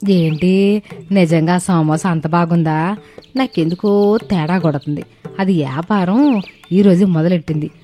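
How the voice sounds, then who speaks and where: A woman's voice: fairly low pitch, measured pace, long pauses, mellow, faint music behind, close to an everyday voice. A woman acting a scornful, grumbling mother-in-law. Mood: irritated, scornful. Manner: sarcastic, irritated. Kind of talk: dramatized moral story cartoon.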